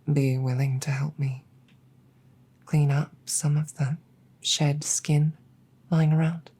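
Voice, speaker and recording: Lady playing a shy sorry dragon gf, super slow n halting, dark mellow soft voice, roleplay audio. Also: low voice, full character voice. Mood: sheepish. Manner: hesitant, halting, tender. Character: apologetic, self-blaming adult female dragon girlfriend.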